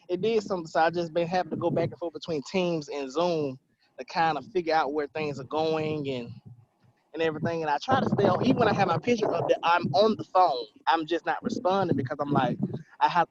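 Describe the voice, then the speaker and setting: A man in his twenties chatting on a video call from his phone, rambling and correcting himself as he goes. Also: a little high. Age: 20s. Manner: rambling, self-correcting, upbeat. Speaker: program participant. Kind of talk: casual video call chat. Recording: dull phone audio with faint noise.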